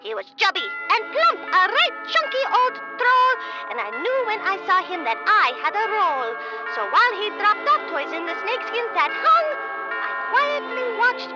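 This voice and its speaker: A woman's voice: very high voice, very animated, moderate pace, muffled recording, over loud music. A woman voicing a bossy, eager little alien girl with childlike teasing in a comedic audio drama. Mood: proud reciting.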